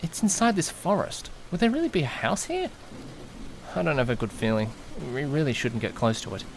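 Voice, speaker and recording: A man's voice: fairly high pitch, sing-song, a little fast, a little hiss or hum. A man playing an anxious, easily panicked young man who narrates his own misadventure in an audio drama, dramatic and questioning himself. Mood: anxious, alarmed. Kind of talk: first-person audio drama narration with acted dialogue.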